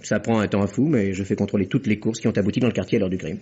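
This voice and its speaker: An actor playing a wry, methodical police inspector in a French radio mystery play, with a polite, ironic, insinuating manner. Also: male voice, on the low side, fairly even intonation, very fast, mellow, a little hiss or hum, close to an everyday voice. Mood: measured, reporting. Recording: dull, muffled vintage radio recording.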